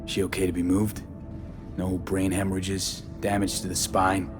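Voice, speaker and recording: Actor as male street mercenary, lowish voice, cool, terse, determined, video game, loud music behind. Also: monotone, moderate pace, lightly acted. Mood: urgent, concerned. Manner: terse, cool, matter-of-fact. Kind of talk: naturalistic video game acting.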